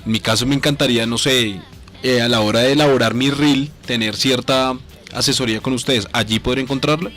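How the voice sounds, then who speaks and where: A man's voice: mid-range voice, fairly even intonation, brisk, a bit bright, soft background music. A man chatting casually as co-host of a radio show. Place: radio station booth.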